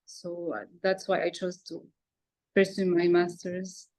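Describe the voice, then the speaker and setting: A woman's voice: on the low side, little pitch movement, unhurried, darkish tone, low-quality recording. A woman from Lebanon speaking English as a second language, introducing herself informally and a little hesitantly on a video call. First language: not English. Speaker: master's student, former TV news reporter.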